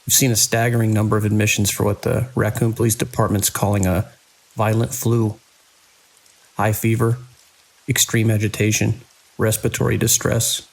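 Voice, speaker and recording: A man acting as a weary hospital director in a horror audio drama. His voice is husky with a gravelly fry, and he dictates in measured, formal phrases with a wry edge. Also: medium pitch, darkish tone, poor audio. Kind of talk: scripted audio drama narration.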